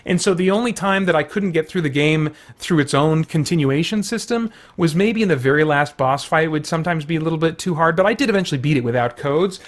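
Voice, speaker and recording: A man with a fairly high-pitched voice hosts an informal, unscripted video. He rambles casually, thinking aloud as he moves from point to point. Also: fast talker, few pauses. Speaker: video host. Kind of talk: casual unscripted chat about retro games.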